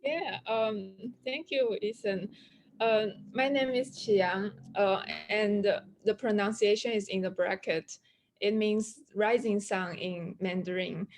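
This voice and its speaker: Young woman from China, PhD panelist, mid-pitched, halting with fillers, video call. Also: fairly even intonation, slight background noise, low-quality recording. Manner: hesitant, many fillers. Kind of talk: online panel self-introduction.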